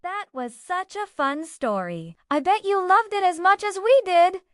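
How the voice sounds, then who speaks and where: A woman's voice: very high-pitched, animated, measured pace, dry recording, studio quality. A woman reading scripted bedtime stories for children as a storyteller and host.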